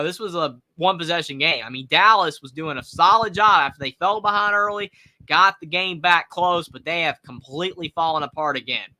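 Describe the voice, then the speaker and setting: A twenty-year-old man from North Carolina with a Southern accent, calling a game live and reading viewer questions with excitement. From North Carolina, USA. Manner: excited, rising pitch on big plays. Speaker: sports live-stream host. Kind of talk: live play-by-play reaction with viewer chat.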